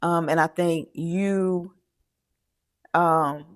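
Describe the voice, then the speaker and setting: A middle-aged woman co-hosting a podcast in casual chat, talking haltingly with many fillers and restarts. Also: on the low side, fairly even intonation. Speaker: podcast co-host.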